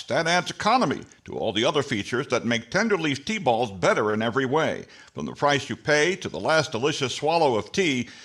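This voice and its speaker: A man's voice: a little high, a bit bright. An older man playing an earnest 1940s radio announcer reading a scripted advertisement.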